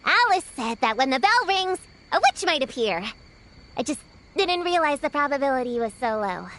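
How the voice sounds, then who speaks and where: A woman's voice: high voice, big pitch swings, moderate pace, noisy background. A woman voicing a spirited young witch-hunter girl in a video game, proud, insistent and earnest, with a self-important, defensive way of talking. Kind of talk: animated video game acting.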